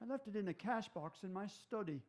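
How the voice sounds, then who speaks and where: An actor playing a wary, genteel middle-aged rogue in a radio drama, speaking with dry, clubby, old-fashioned politeness. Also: male voice, high voice, lively intonation, fairly quick, a little mellow. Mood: dry, guarded. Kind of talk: stage radio-drama acting.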